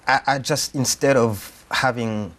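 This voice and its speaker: A man's voice: mid-range voice, unhurried, fairly bright tone. A man from Rwanda answers interview questions conversationally, hesitating as he thinks aloud. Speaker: actor-writer being interviewed.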